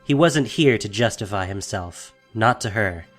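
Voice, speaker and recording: A man's voice: on the low side, animated, a little slow, fairly bright tone, soft background music. A man narrating a fantasy audio drama from a script in a dramatic storytelling style.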